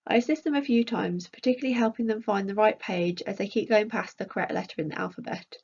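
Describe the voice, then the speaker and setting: A woman's voice: mid-range voice, quick pace, creaky, darkish tone, husky, muffled recording. A woman reading out a scripted academic conference presentation as a researcher.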